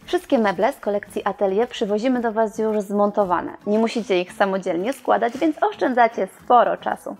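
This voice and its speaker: A young woman presenting and reviewing furniture in a showroom, in a clear, explanatory scripted delivery. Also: medium pitch, normal speed, few pauses, a little mellow, faint music behind.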